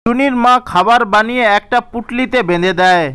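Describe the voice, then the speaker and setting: A male narrator reads a children's story from a script. His voice is high for a man, with a smooth, clear tone. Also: a little fast, fairly bright tone.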